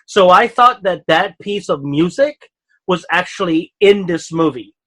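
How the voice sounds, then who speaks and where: Higher-voiced man telling a rambling story. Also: lively intonation, a little slow, pushed and bright. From Europe. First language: not English. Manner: rambling storyteller, laughs often. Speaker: podcast co-host. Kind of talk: casual chat.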